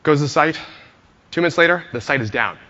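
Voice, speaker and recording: A hoarse man giving a friendly conference talk to an audience, telling a story with many "uh" fillers. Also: a little high, lively intonation, quick pace, sharp tone, big-room sound, dull audio. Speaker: conference speaker, engineer.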